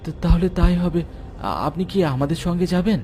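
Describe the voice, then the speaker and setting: Male actor as nervous young village man, fairly high voice, hesitant, stammering, respectful, horror cartoon, music behind. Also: moderate pace, warm tone, lightly acted. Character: anxious young village man seeking a holy man's help. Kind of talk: animated horror story character acting. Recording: music under the voice.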